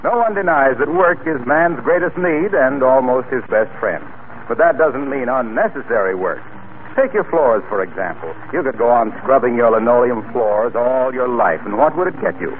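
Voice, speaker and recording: A man announcing in 1940s radio style, delivering introductions and sponsor pitches with polished, folksy salesmanship. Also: animated, normal speed. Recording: narrow-band, noisy vintage radio recording.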